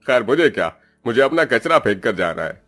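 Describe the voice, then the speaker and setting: A man's voice: mid-range voice, big pitch swings, fairly quick, fairly bright tone, faint music behind. An actor playing a scornful, brusque, hard-hearted man who dismisses people in a cartoon story. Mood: irritated shouting. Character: gruff, dismissive, hard-hearted man scolding poor girls.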